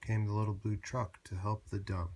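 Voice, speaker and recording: A man narrating a children's picture book aloud in a measured, rhyming read-aloud manner. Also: on the low side, level tone, darkish tone.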